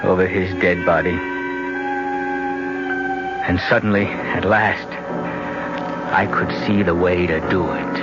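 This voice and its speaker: An actor playing a frightened, scheming young man in a 1970s radio drama, who also narrates in a grim tone. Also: deep voice. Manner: pleading as a character, calm and grim as narrator. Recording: dull vintage radio recording, faint hiss.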